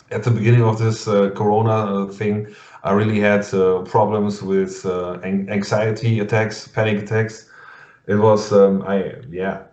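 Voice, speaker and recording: A man with a German accent interviewing a guest over a video call in English, halting and full of fillers and restarts, laughing often. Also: lowish voice, a little mellow. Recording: muffled lo-fi sound in an echoey room.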